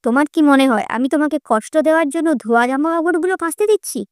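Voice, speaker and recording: Woman acting scheming, nagging mother-in-law, highish voice. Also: expressive, rapid-fire, no echo, studio quality. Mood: bossy, scornful. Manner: nagging, scornful. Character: scheming, greedy, bossy middle-aged mother-in-law. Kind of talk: Bengali cartoon story voice acting.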